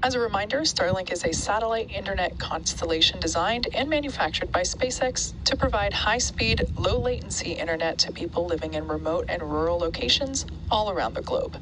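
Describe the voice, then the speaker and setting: A woman reads scripted launch commentary in a measured, informative way. Her voice sits a little low, and clear background noise runs under the playback.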